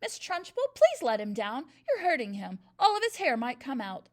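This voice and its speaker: Brief: woman putting on a gentle young teacher; soft, kind, earnest. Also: high-pitched, sing-song, a little fast, pitched above the performer's own voice. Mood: pleading. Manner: soft-spoken, pleading.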